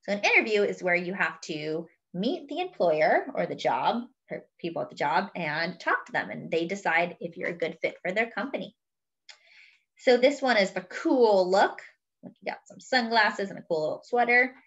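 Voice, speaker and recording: A woman reading a children's picture book aloud expressively, pausing to explain words and pictures as if to young children. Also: mid-range voice, animated, a bit bright, dull audio. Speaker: host of a children's read-aloud.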